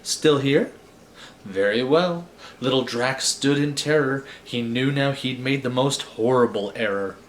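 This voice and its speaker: A man's voice: mid-range voice, measured pace, poor audio. A man hosting a storybook read-aloud in a wry, theatrical way, with casual asides. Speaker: host reading stories aloud.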